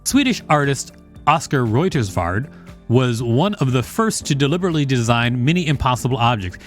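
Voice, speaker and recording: A man with a medium-pitched voice co-hosts a podcast. He reads encyclopedia material aloud and adds casual, conversational asides. Soft music plays underneath. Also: lively intonation, moderate pace. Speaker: podcast co-host.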